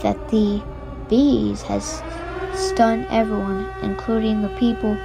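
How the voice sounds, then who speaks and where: A woman playing a solemn emergency broadcast announcer, speaking gravely and haltingly as she delivers doomsday warnings. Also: medium pitch, level tone, over music.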